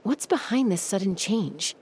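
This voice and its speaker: A woman playing a cool, composed young peacemaker in a video game, speaking in a measured, matter-of-fact way. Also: mid-range voice, expressive, background noise, natural voice. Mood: concerned.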